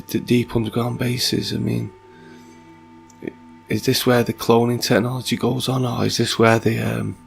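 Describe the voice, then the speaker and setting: A man with a croaky, sore-throated voice, reading passages aloud and then musing on them in casual asides. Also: medium pitch. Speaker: video narrator. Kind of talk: reading and commenting on mythology.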